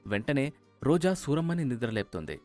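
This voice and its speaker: A man's voice: medium pitch, sing-song, fairly quick, darkish tone, dry recording, soft background music. A man narrating a scripted story as its storyteller.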